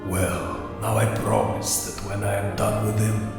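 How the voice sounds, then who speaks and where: Gravelly, slow-spoken ancient vampire count. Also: male voice, on the low side, little pitch movement, music in the background. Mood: possessive fury. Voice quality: gravelly, husky. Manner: slow, formal, deliberate. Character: ancient, courtly, menacing male vampire count. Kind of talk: radio play acting.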